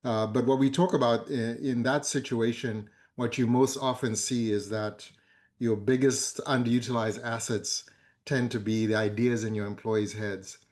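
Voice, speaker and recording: A man in his fifties from Zambia who speaks as a guest in a conference fireside chat, measured and full of hesitant fillers. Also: mid-range voice, steady tone, a little mellow. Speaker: board member, guest speaker.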